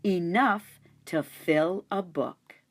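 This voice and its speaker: A woman voicing a small, excitable male hamster in a children's storybook, with a squeaky, high, dramatic voice. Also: extremely slow, full character voice. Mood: wistful.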